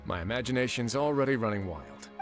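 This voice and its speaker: A man's voice: mid-range voice, expressive, fast talker, over music, close to an everyday voice. A man playing a wry, confident prison warden in a video game, with a dry, casual, teasing manner. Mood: teasing, amused. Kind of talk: video game dialogue acting.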